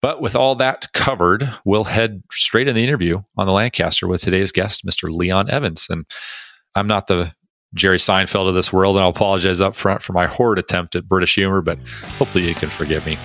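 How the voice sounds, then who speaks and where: A man's voice: deep voice, fairly quick, a bit bright, no echo, clean studio sound. A man cohosting an aviation podcast, chatty and upbeat, with a few jokes between topics. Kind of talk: podcast monologue and interview.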